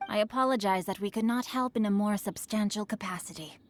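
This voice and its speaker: A woman playing a polite, bookish teenage boy in a video game, speaking in a formal, courtly, measured way. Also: mid-range voice, lightly acted. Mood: courteous. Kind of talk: video game character dialogue. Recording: faint music behind the voice.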